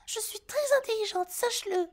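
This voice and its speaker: A woman's voice: very high voice, normal speed. A woman voicing a naive, chirpy, easily indignant female harpy who hoards shiny trinkets in an ASMR roleplay. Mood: defensive, indignant. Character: scatterbrained female harpy hoarding shiny coins, childlike and defensive. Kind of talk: French ASMR roleplay acting.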